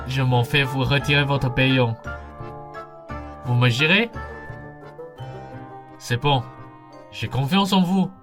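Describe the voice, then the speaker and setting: Low voice, young woman playing a gruff young male thief, businesslike yet soft-hearted, comic radio play, music behind. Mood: regretful, tender. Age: young adult. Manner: condescending, then flustered.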